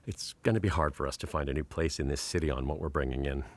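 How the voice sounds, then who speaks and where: A man's voice: rumbling low, fast, no echo. A man playing an affectionate, reassuring and slightly absent-minded husband in a naturalistic radio play. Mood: earnest. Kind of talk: naturalistic radio drama acting.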